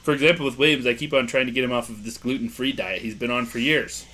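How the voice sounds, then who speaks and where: A young man with a loud voice, co-hosting a casual podcast, joking, laughing and reading quiz questions aloud. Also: mid-range voice, steady tone, quick pace. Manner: joking, playful, laughs often. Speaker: podcast co-host.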